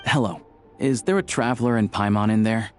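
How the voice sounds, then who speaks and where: An actor playing a dependable, protective, easygoing friend in a video game, speaking plainly and matter-of-factly. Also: male voice, lowish voice, fairly quick, darkish tone, soft background music, close to an everyday voice. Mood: polite. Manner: matter-of-fact, plain-spoken. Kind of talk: video game character acting.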